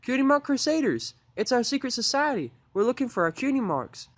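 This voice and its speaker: Man voicing an eager, high-pitched little country filly. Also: very animated, a little fast, a bit bright, no room sound, exaggerated voice. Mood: enthusiastic. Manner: pleading, earnest, folksy country grammar. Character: eager, earnest young female pony filly, country-raised.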